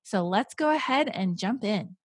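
A woman's voice: medium pitch, animated, normal speed, breathy edge, no echo, studio quality. A woman hosting an interview podcast, enthusiastic and encouraging toward her guest. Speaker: podcast host.

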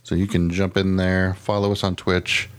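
A man's voice: deep voice, little pitch movement, normal speed, smooth. A man hosting a podcast with casual comedic banter, rambling and swearing freely as he goes. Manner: rambling, profane, easily sidetracked. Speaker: podcast host.

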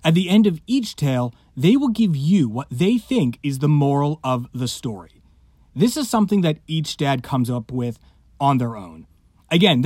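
A man's voice: fairly high pitch, darkish tone, dry recording, studio quality. A man reading a scripted podcast introduction as host, with playful emphasis on words.

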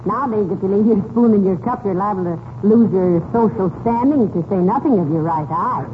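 A woman's voice: medium pitch, a little fast, natural voice. An actress playing a sardonic, sensible American wife who teasingly deflates her husband, in 1940s radio comedy style. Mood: wry, teasing. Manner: wry, teasing. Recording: noisy, tinny 1940s radio recording.

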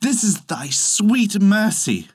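A storyteller voicing a poor, pious, excitable peasant man who exclaims in old-fashioned storybook phrases. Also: medium pitch, a little slow, no echo, clean studio sound, pitched above the performer's own voice. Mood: joyful awe. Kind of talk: storybook reading.

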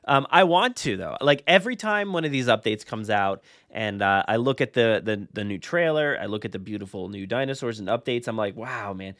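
A man's voice: on the low side, lively intonation, a little fast, hardly any pauses, fairly bright tone, no room sound, clean studio sound. A man hosting a podcast in casual conversation, rambling along in a self-deprecating way. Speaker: podcast host.

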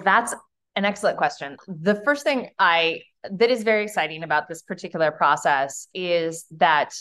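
A woman actor and artistic director giving a thoughtful, measured answer in a podcast interview. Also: fairly low pitch, fairly bright tone.

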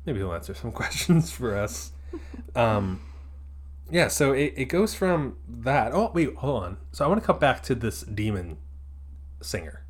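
A man riffs through a casual movie-review podcast in a playful, joking way. His pitch swings widely from line to line, lively and animated throughout. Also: unhurried, breathy edge. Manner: animated, joking, slipping into silly voices. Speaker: podcast co-host.

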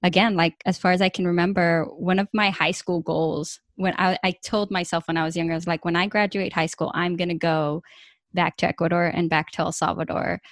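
A woman's voice: on the low side, level tone, fairly quick, no room sound. Eager woman in her thirties, dry sound. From California. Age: 30s. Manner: eager, chatty storytelling. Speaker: guest. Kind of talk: podcast interview.

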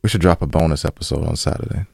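Low-voiced man, slangy podcast chat. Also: racing, creaky, mellow, slightly rough, dry recording, clean studio sound. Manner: informal, slangy, repeats phrases for emphasis. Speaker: podcast co-host.

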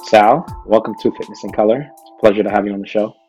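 A man's voice: lowish voice, steady tone, fast talker, darkish tone, soft background music. A man hosting a podcast, chatting in a casual, informal, slangy interview style. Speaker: podcast host.

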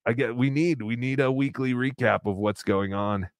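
A man's voice: medium pitch, moderate pace, dry recording. Casual swearing male streamer. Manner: loose, swearing, laughs while talking. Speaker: livestream co-host reading donations. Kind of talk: casual profane chat.

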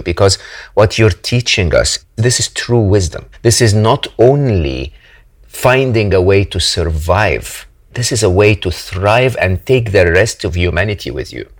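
A man from Egypt, hosting an interview and speaking in an effusive, emphatic, heartfelt way. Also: deep voice. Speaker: host. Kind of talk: conversational interview.

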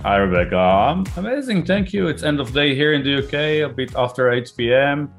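A man's voice: medium pitch, a little fast, soft background music. A middle-aged man with an Israeli accent, a guest entrepreneur talking casually in an interview, often repeating words as he starts a thought. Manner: repeats words when starting, chatty and relaxed.

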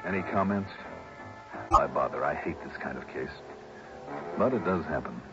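An actor playing a shrewd, hard-boiled private investigator in mid-century radio drama, with a clipped, wry, probing way of talking. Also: male voice, very deep voice, animated, normal speed. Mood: confronting, wry. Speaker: star of the show. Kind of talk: 1950s-60s radio drama acting and first-person narration. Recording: dull vintage radio recording with music.

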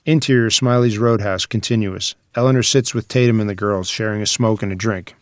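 A man's voice: fairly low pitch, fairly even intonation, a little fast, dry recording. Man narrating a script, very dry sound. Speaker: narrator reading stage directions.

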